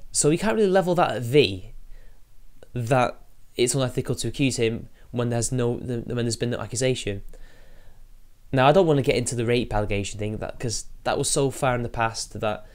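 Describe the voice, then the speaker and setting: Casual male streamer, mid-pitched, a bit sniffly, rambling commentary to chat. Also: fairly quick. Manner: rambling, talks to chat while fiddling with software. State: sniffly from allergies.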